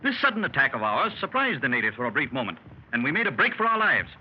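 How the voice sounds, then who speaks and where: An actor playing a daring male explorer who tells a jungle escape in 1930s radio drama style, shouting orders when the action starts. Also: mid-range voice, huge pitch swings, fast. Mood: grave. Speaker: club member telling his adventure. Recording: muffled 1930s radio recording with hiss.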